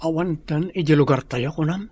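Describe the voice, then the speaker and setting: A man's voice: a little high, normal speed, darkish tone, slightly rough. A man acting an earnest, respectful young student and hopeful suitor in a radio drama. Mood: earnest, pleading.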